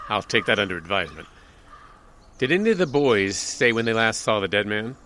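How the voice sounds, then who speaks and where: Man as a dry, guarded radio-drama sheriff, lowish voice that swings widely in pitch. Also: very animated, normal speed, pushed and bright, slight background noise. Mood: probing. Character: dry, wary small-town male sheriff.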